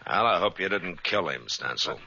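A man playing a steady, plain-spoken frontier marshal with an American accent and firm authority, in an old radio Western. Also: sits low, normal speed, creaky, exaggerated voice. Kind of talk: 1950s radio Western acting. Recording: dull, hissy old radio recording.

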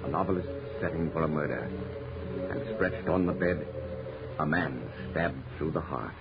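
A man's voice: a bass voice, normal speed, vocal fry. A man narrating a mystery in the dramatic scripted style of 1930s radio. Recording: muffled 1930s radio broadcast with music. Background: music.

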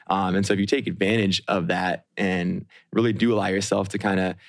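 A thirty-year-old man with a fairly low voice, co-hosting a podcast in a dry, close-sounding room. He talks in a chatty, reflective way, full of "like" and "um". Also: flat delivery, a little fast, vocal fry, no room sound. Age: 30s. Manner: chatty, filler-heavy, reflective. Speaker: podcast co-host. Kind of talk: casual podcast conversation.

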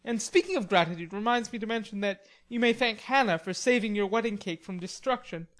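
A woman's voice: mid-range voice, brisk, pitched above the performer's own voice, close to an everyday voice. Lady doing a jokey young gent in an audiobook, kinda theatrical and teasing, voice lifted up. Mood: teasing, jovial. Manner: playful, theatrical, exclamatory. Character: cheerful, teasing young gentleman, tall and melodramatic. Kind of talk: audiobook character dialogue.

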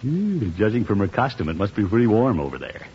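A portly, comfort-loving middle-aged uncle with a low voice that swoops freely through pompous musings and flustered exclamations. He chuckles and mutters to himself in old radio-sitcom style. The recording is dull, with faint noise. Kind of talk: 1950s radio sitcom acting.

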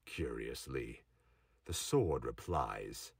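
A man's voice: very deep voice, expressive, drawn-out words, creaky, husky, no room sound, studio quality. A man narrating a scripted audio drama, recorded at some distance from the microphone with noise behind him. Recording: distant mic with background noise.

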